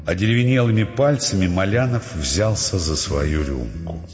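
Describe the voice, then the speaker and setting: Expressive male narrator in long reverb. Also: low-pitched, moderate pace. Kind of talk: dramatised literary narration. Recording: dull sound, long reverb, music underneath. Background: music.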